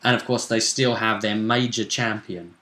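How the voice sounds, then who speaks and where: A man's voice: on the low side, steady tone, moderate pace, sharp tone, reverberant. A man chatting casually as host about a tabletop role-play game, musing aloud and often prompting his co-host with questions.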